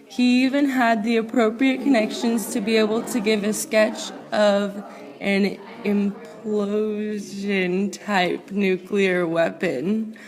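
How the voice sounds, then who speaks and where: A woman reads prepared narration like a student presenting a project. Her voice sits at a middling pitch. The delivery is halting, with occasional stumbles over words. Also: level tone, a little mellow, low-quality recording. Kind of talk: scripted narration, read aloud.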